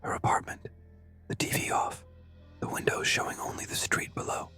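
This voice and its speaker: A narrator telling a scary story in a breathy, hushed whisper, with dramatic short suspenseful phrases. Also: moderate pace. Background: music.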